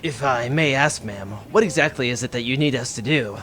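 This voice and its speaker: A performer playing a polite, deadpan male spaceship crewman in a comedic audio drama. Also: medium pitch, brisk, a little hiss or hum, natural voice.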